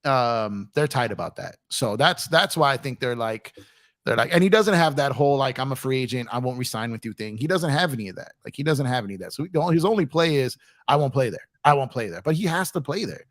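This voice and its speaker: A man's voice: mid-range voice, expressive, fast, dry recording. American man chatting sports, dry close sound. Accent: American with a touch of New York. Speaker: sports talk show host. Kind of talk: casual sports chat on a livestream.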